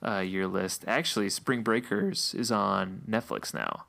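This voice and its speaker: A man co-hosting a podcast in casual chat, relaxed and chatty, laughing often, saying he is losing his voice. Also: lowish voice, a little slow, creaky. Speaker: podcast co-host.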